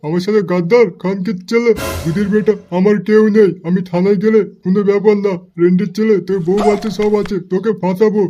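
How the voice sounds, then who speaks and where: A man's voice: sits high, steady tone, fast talker, hardly any pauses, warm tone, slight background noise, close to an everyday voice. A man voicing a coarse, swearing, greedy and threatening crook in an amateur comedy cartoon. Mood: angry, vengeful.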